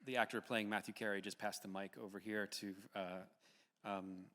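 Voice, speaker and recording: A man hosting a live audience discussion, speaking hesitantly with pauses mid-sentence. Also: on the low side, little pitch movement, sharp tone. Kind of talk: moderating a live public discussion. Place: large indoor hall at a live event. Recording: echoey hall with long reverb.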